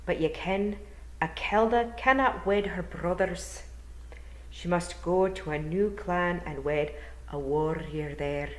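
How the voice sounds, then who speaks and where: Lady doing an old pixie bard guy for storytime, slow n polite, rolls his r's, wistful, echoey room. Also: fairly low pitch. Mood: courteous. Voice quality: rolled R's. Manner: clear, slow, polite, formal.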